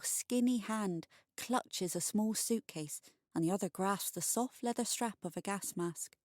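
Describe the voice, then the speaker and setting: A woman narrating a scripted bedtime short story for adults, expressive and wry. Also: medium pitch, no room sound, clean studio sound.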